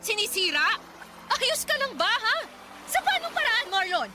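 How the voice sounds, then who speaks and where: A woman's voice: very high-pitched, sing-song, a little slow, over loud music, exaggerated voice. A woman voicing a defiant, resentful gambling wife in a Filipino radio drama, with a confrontational, sarcastic, scolding manner and a Filipino accent. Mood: defensive, indignant.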